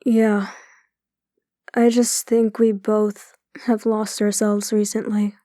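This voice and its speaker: An actress in an audio drama playing a gentle, troubled woman who speaks hesitantly and confidingly. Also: medium pitch, level tone, takes it slowly, no echo, studio quality, close to an everyday voice. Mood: sad, confiding. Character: gentle, troubled woman opening up to her brother.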